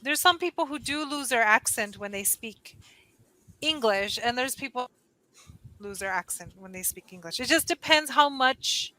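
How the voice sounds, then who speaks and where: A woman's voice: fairly high pitch, animated, normal speed, sharp tone, rough recording. A woman with a Californian accent, chatting casually on a video call as an online English tutor and explaining patiently. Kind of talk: casual conversation lesson. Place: lives in Costa Rica.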